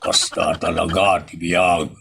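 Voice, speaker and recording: A man's voice: deep voice, little pitch movement, slow, gravelly, background noise, full character voice. A man playing a broke, resigned friend in a comedy audio drama, drawling hesitantly and placatingly.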